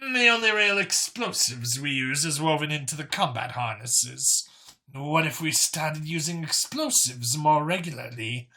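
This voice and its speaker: Guy playing a pompous alien council guy in a sci-fi audiobook, callous and keen, sharp bright voice, lots of pitch movement. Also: animated, measured pace, heavily acted. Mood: insistent. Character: eager, callous male alien councilor, hungry for weapons.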